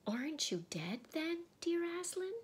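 A woman voicing an excitable, tender young girl in a storybook, breathy and exclaiming with feeling. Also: high-pitched, a little slow, pitched above the performer's own voice. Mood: anguished, amazed. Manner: emotional, exclaiming.

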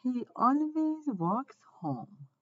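A woman reading a children's picture book aloud in simple, deliberate phrases for young listeners. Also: high voice, very animated, fairly bright tone, muffled recording.

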